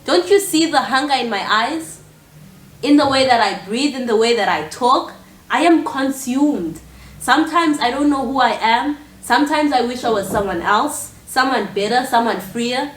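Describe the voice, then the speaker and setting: A woman's voice: high voice, animated, normal speed. An actress playing a proud, angry, impoverished woman who refuses pity, in an intense theatrical monologue. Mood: desperate, angry. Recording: echoey room with faint noise.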